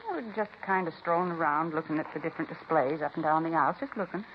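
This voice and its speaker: Actress as a fast-talking, indignant woman cashier, old radio. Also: deep voice, lightly acted. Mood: matter-of-fact. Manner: folksy, gossipy, dismissive. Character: chatty, indignant woman grocery cashier recounting a holdup. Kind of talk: 1950s radio police drama acting. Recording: muffled, hissy 1950s radio recording.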